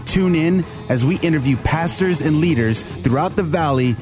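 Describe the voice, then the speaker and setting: A man's voice: fairly high pitch, moderate pace. A man reading a scripted promotional introduction for a radio show. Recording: voice over loud guitar music. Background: guitar music.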